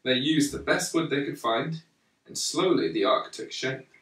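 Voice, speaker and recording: A man reading a children's story aloud in a measured, deliberate way, pausing between phrases. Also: mid-range voice, expressive, a bit bright. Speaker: storyteller reading a Bible picture book to a young child. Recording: roomy, echoey, slightly distant microphone.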